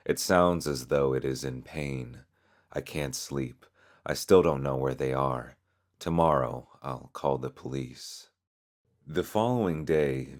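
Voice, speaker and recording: Very deep-voiced male narrator. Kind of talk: scripted storytelling narration.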